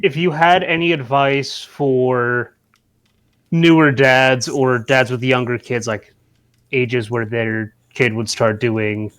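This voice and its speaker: A man hosting a casual podcast chat, asking questions in an unhurried way and throwing in jokey asides. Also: mid-range voice, fairly even intonation, fairly bright tone, clean tone. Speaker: podcast host.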